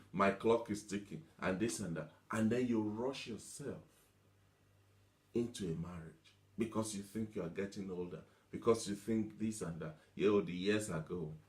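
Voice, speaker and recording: A man preaching a motivational teaching sermon, emphatic, repeating himself and asking rhetorical questions. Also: fairly low pitch. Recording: echoey room.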